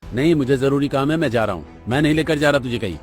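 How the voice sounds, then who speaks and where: A man's voice: mid-range voice, animated, fast, soft background music, voice pushed up, natural voice. A man voicing an irritable, scornful young brother in a cartoon, speaking curtly and dismissively. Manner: curt, scornful.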